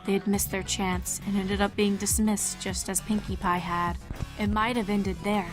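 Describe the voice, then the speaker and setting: Over a music backing, a woman tells a story in a dramatic, scripted narrator's style. Her voice sits at a middle pitch as she reads fan fiction aloud. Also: little pitch movement, normal speed, low-quality recording. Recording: music behind the voice. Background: background music.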